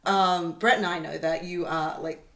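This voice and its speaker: A woman's voice: on the low side, fairly even intonation, measured pace, fairly bright tone. Woman podcast host, echoey room. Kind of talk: conversational podcast hosting. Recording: roomy, echoey indoor sound.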